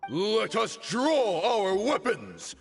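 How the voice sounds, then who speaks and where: A defiant desert warlord from a video game, with widely swinging pitch and slow, weighty pacing. He speaks in grand, theatrical declarations, like a zealot leading his followers, with music behind him. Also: huge pitch swings, gravelly, heavily acted. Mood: fierce rallying cries. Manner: grandiose, theatrical, solemn speechmaking. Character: proud fanatical male desert warrior leader, defiant to death. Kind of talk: dramatic English video game voice acting.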